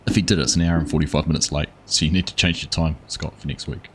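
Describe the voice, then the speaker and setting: Kiwi guy streaming a farm game, super deep voice, laid back, chats w viewers. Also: fast, a little hiss or hum. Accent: New Zealand. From New Zealand. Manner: relaxed, rambling, chats with viewers. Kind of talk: casual gameplay livestream chat.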